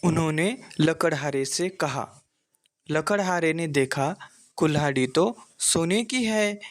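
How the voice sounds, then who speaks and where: A man's voice: fairly high pitch, takes it slowly, noisy background. A man narrating a scripted children's folktale as the storyteller.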